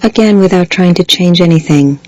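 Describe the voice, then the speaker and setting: A woman guiding a body scan meditation in a slow, measured way, leaving long pauses between phrases. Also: lowish voice, a little mellow, poor audio. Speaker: meditation guide. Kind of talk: guided body scan meditation, scripted soothing instruction.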